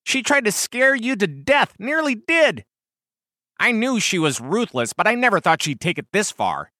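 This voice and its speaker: A man voicing a bright, exasperated turkey with swooping pitch. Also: big pitch swings, normal speed, no echo, clean studio sound, full character voice. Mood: scolding, upset. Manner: urgent, scolding, emphatic. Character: protective, exasperated male turkey, wary older-brother type. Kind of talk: animal storybook character voice.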